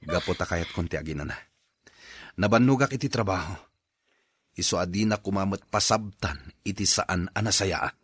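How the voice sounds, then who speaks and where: A man's voice: sits low, normal speed, a bit bright, slightly rough, no room sound. A man playing an unhappy young husband and father in an Ilocano radio drama, earnest and sorrowful. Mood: firm, weary.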